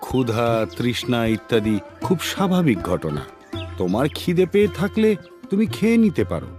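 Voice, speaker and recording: An actor voicing a strict older teacher in a cartoon, speaking sternly in a measured, lecturing manner. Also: male voice, mid-range voice, wildly swooping pitch. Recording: music playing behind the voice.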